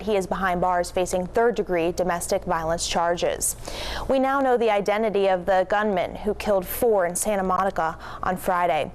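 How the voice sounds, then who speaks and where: A woman anchoring a television newscast, reading scripted stories in a presenter's delivery. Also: medium pitch. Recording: clearly noisy TV news aircheck.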